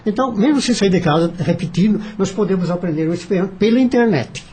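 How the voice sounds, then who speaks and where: A Brazilian man speaking off the cuff in a radio discussion, halting and repeating himself as he searches for words. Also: high-pitched, expressive, mellow, slightly rough.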